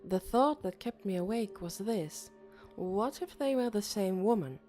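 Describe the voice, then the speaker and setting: A woman narrating an audiobook as a pensive, uneasy teenage boy, in a measured, introspective way. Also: mid-range voice, lively intonation, mellow, faint music behind, natural voice.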